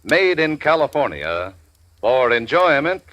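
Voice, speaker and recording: A man reading a 1940s radio commercial in a smooth, persuasive way. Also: mid-range voice, big pitch swings, unhurried, a bit bright. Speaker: commercial announcer.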